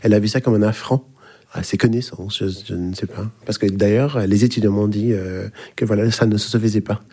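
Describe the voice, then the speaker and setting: A man from the English-speaking Caribbean, a native English speaker, recounting a personal anecdote as an academic interviewee in a hesitant, measured manner. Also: fairly low pitch, steady tone, warm tone, husky. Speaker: university linguistics lecturer, interviewee.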